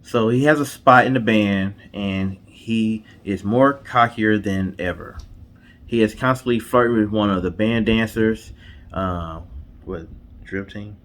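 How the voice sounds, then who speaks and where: A man's voice: fairly low pitch, a little slow, a bit bright. A man co-hosting and retelling a story in a casual storytelling style. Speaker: co-host.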